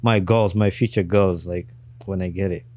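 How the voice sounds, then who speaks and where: A man from Uganda, hosting a podcast and telling his own story in casual, animated chat. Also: deep voice, normal speed. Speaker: podcast host.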